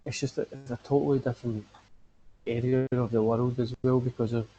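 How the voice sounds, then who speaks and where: A man's voice: mid-range voice, fairly even intonation, fairly quick, mellow, highs cut off, slight background noise. A man hosting a podcast in casual chat, rambling and filling his talk with "like". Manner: rambling, leans on "like" fillers. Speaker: podcast host.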